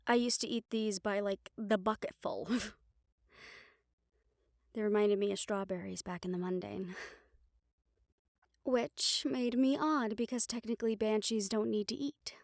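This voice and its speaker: A woman playing a gentle, caring young banshee in an intimate audio roleplay, speaking softly and soothingly, with some phrases trailing into a whisper. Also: mid-range voice, no echo, clean studio sound, close to an everyday voice. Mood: fond, reminiscing. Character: gentle young female banshee from Puerto Rico, caring crush. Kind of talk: intimate audio roleplay acting, one-sided conversation.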